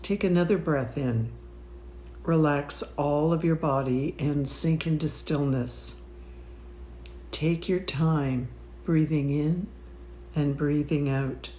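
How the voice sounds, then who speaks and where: Woman, guided meditation narration, slow pace, soothing delivery, long pauses, roomy echo. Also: deep voice, warm tone, a little hiss or hum.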